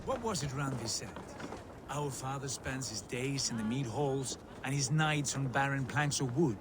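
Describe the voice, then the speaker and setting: An actor playing a proud, embittered Viking lord in a video game, speaking in a solemn, declamatory way. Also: male voice, mid-range voice, lively intonation, moderate pace, noisy background, lightly acted. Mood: scornful. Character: embittered, proud Viking lord, grown man, fervent and brooding. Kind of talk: dramatic video game acting.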